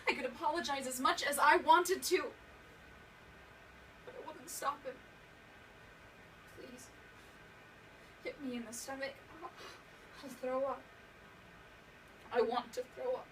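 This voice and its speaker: A woman acting a haunted young survivor of abuse in a dramatic stage monologue, halting and emotional, repeating words for emphasis. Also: sits high, fairly even intonation. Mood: anguished, then pleading. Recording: distant mic, clearly noisy room recording.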